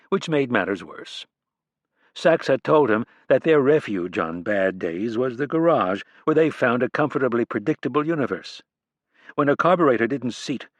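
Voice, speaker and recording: Man reading a thriller audiobook, steady and measured, very dry sound. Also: medium pitch, no echo. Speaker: audiobook narrator. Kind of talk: scripted narration.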